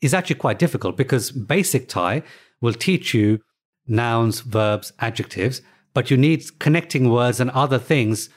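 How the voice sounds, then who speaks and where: A middle-aged man, the guest of an interview, talking in a relaxed, thoughtful way with plenty of "you know" fillers. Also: medium pitch, normal speed. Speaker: guest, author of language books.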